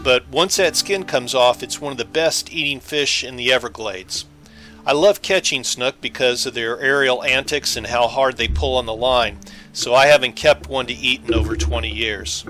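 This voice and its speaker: A man's voice: medium pitch, normal speed, pushed and bright, soft background music. A man giving scripted voice-over narration as an angler recounting his fishing trip.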